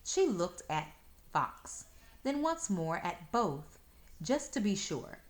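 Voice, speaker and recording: Slow, expressive woman storyteller. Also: on the low side. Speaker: storyteller reading a children's book aloud.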